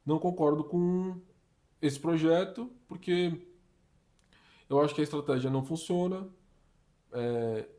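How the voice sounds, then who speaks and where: Slangy, animated young Brazilian man. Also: on the high side. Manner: slangy and animated, uses "tá ligado", "mano", "papo reto". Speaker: career vlogger giving communication tips. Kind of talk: casual advice vlog.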